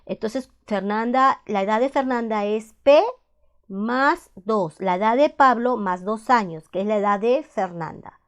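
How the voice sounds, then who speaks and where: A woman's voice: fairly high pitch, a little slow. A woman math teacher, patiently explaining algebra step by step, repeating each point and asking checking questions. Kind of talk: slow step-by-step classroom explanation.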